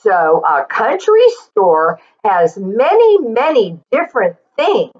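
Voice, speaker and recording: A middle-aged woman reading a picture book aloud for children, expressively and deliberately, drawing out words and adding simple explanations. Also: medium pitch, wildly swooping pitch, breathy edge, dull audio. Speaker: children's story reader and teacher.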